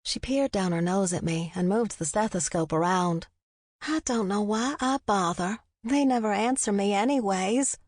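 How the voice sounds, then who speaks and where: A woman's voice: medium pitch, normal speed, darkish tone, no room sound, studio quality, lightly acted. A woman doing a chatty motherly nurse. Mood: gossipy. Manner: talkative, folksy, scolding kindly. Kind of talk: audiobook character voice.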